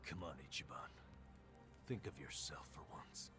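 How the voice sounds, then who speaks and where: An actor playing a guilt-ridden, world-weary man in a video game drama, gruff, blunt and jaded. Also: a bass voice, expressive, moderate pace, pushed and bright, full character voice. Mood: weary, dismissive. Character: bitter, guilt-ridden, world-weary man pushing his friend away. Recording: game music behind the voice.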